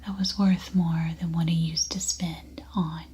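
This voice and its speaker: A woman playing an unhinged, coaxing cannibal survivor in an ASMR roleplay, in a soft breathy whisper that soothes and menaces. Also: lowish voice, flat delivery, unhurried, close to an everyday voice. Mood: eerily calm.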